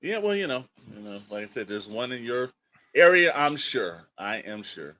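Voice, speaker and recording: A man's voice: mid-range voice, very animated, normal speed, sharp tone, no echo. An American man co-hosting a podcast in casual, rambling talk peppered with verbal tags like "you know". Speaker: podcast co-host.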